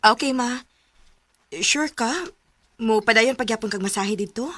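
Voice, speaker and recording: A bright, sharp young daughter. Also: female voice, fairly high pitch, unhurried, no room sound. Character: young working daughter, caring but firm.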